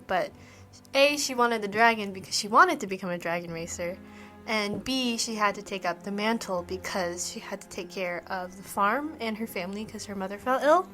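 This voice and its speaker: Bright-voiced woman playing a tabletop game. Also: medium pitch. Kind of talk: casual improvised storytelling about her character. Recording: music behind the voice.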